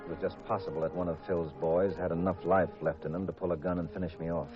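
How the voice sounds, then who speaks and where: Man acting a hard-boiled, low-voiced radio detective. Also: fairly even intonation, quick pace, close to an everyday voice. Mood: grim, measured. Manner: clipped, no-nonsense delivery. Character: hard-boiled married male investigator, tough, terse, stubborn. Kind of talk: 1950s radio crime drama acting with first-person narration. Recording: dull vintage radio recording, music behind.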